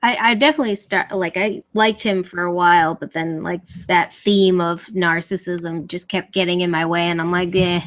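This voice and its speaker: A woman's voice: on the low side, fairly even intonation, normal speed, few pauses, a bit bright. A woman on a group video call, giving her opinions in a candid, casual way. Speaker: call participant.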